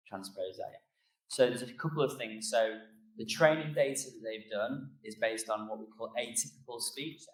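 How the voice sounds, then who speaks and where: A man gives an informal conference talk in a fairly low voice. His intonation stays very flat as he explains things in a loose, conversational way. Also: poor audio. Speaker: conference speaker. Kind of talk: informal presentation and audience Q&A.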